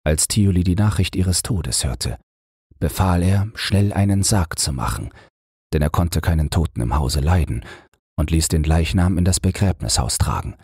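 A man's voice: low voice, animated, moderate pace, dark tone, husky, no room sound, studio quality. A man reading a scripted bedtime fairy tale as an audiobook narrator.

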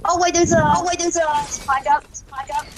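A woman's voice: extremely high pitch, steady tone, normal speed. A female teammate chatting casually in an online game, insistently repeating her phrases. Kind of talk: casual gaming chat. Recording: noisy online voice chat.

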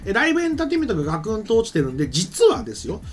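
A man's voice: high-pitched, huge pitch swings, very fast. A middle-aged man hosting a studio talk show, giving casual explanatory commentary at a desk microphone. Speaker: host, anime and game writer. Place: small streaming studio.

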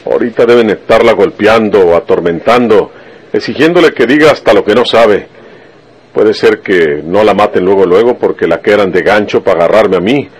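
Rustic Mexican lawman, low and rough-voiced, blunt and stubborn, for radio drama. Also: moderate pace, rough recording. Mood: defiant, urgent. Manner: folksy country speech full of rustic colloquialisms. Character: stubborn, vengeful rural Mexican detective, blunt and earnest. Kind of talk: Spanish-language radio serial acting.

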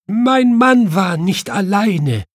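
A man's voice: high-pitched, sing-song, slow pace, no echo, clean studio sound, voice pushed up, exaggerated voice. A man voicing a distraught, weeping widow in an audiobook, shaken and tearful.

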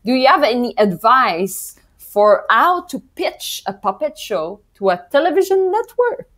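A woman's voice: high voice, big pitch swings, a little slow, fairly bright tone. A woman in her thirties with a French accent, whose first language is French, hosting an interview over a video call with an earphone mic. She speaks in English with friendly, hesitant phrasing. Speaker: podcast host. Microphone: wired earphone mic on a video call.

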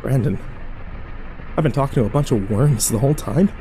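A man playing an overwhelmed, foul-mouthed young man who narrates his ordeal haltingly in a horror audio drama. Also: medium pitch, dark tone, slight background noise, close to an everyday voice, poor audio. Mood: puzzled. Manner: halting, self-interrupting, swearing, incredulous.

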